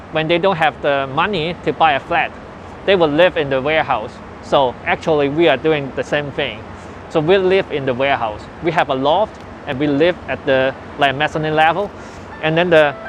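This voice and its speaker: A man in his forties with a Hong Kong English accent, earnestly recalling his past in an unhurried interview with loose grammar. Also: on the high side, a bit bright. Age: 40s. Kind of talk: personal reminiscence in interview. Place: old building where his family lived and worked.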